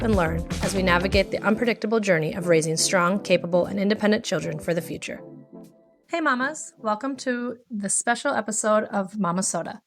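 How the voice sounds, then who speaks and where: Woman hosting a podcast over music. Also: medium pitch. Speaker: podcast host. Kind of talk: podcast introduction and interview.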